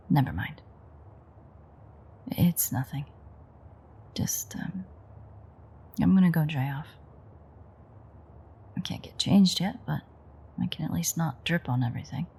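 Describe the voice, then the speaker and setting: A playful, dangerous vampire woman, performed by an actress murmurs in an intimate audio drama. Her voice is dark and very husky, with a gravelly creak. She teases wryly, often dropping close to a whisper. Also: low voice, heavily acted. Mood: hesitant, soft, then dry, teasing. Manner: teasing, wry, murmured. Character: teasing, playful ancient female vampire, flirtatiously menacing.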